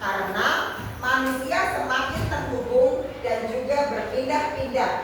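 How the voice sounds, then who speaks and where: A woman voicing a kind female class teacher who gently encourages a shy new pupil. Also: high voice, measured pace. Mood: explaining. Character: friendly adult female class teacher, welcoming. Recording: echoey hall, distant mic, noisy.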